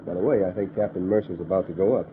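An actor playing a calm, authoritative army colonel who explains things in a measured way, in a 1940s radio drama. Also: male voice, low voice, close to an everyday voice. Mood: assured. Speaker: air force colonel. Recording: noisy lo-fi old radio broadcast.

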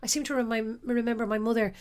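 A middle-aged Irish woman from the west of Ireland, an actress telling stories from her life in a relaxed interview, self-deprecating and quick to laugh. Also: on the high side. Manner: warm, self-deprecating storyteller, laughs often. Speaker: actress guest.

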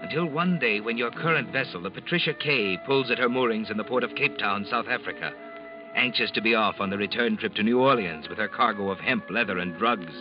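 An ominous male narrator played by an actor for a vintage radio suspense drama. His slightly low voice is dramatic and knowing, with music clearly behind it. The recording is dull and muffled. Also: brisk, natural voice. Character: ominous second-person narrator of a sea suspense tale.

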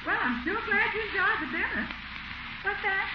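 A woman playing a good-natured, brisk household cook in an old radio sitcom. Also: very high-pitched, animated. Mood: polite, secretive. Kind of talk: 1940s-50s radio sitcom acting. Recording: echoey, noisy, muffled old radio recording.

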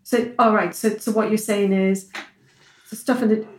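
A woman hosting a podcast, chatting casually and asking questions, with quick affirmations and easy laughs. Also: medium pitch, fairly even intonation, a little mellow. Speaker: podcast host. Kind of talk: casual interview chat. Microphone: video call. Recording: echoey room.

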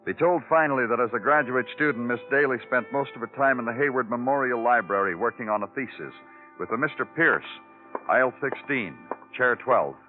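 An actor playing a world-weary police detective in an old radio noir drama, clipped and sardonic. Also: male voice, medium pitch, fairly quick, close to an everyday voice. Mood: brisk, clipped. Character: weary, hard-boiled male police detective. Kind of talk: 1950s radio noir narration and acting. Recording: narrow-band old radio, faint music behind.

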